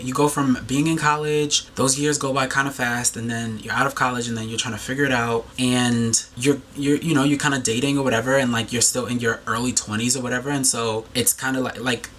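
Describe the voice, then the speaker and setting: Bright-voiced man in his thirties, earnest. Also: medium pitch, fairly even intonation, normal speed, few pauses, slight background noise. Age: 30s. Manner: earnest, rambling, heavy use of "like". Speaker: video host. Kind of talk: reflective monologue to camera.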